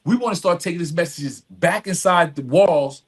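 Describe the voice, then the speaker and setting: A middle-aged man with a slightly high-pitched voice speaks as a guest in a conversational interview. He is earnest and emphatic, repeating key phrases and tagging his points with a quick "right?". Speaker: podcast guest, nonprofit executive director.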